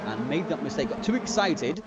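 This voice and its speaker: A male race commentator with a hoarse, cold-worn voice pitched high and swinging widely. He bursts into exclamations and laughs as the action turns, with loud engine noise underneath. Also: big pitch swings, a little fast. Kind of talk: sim racing commentary.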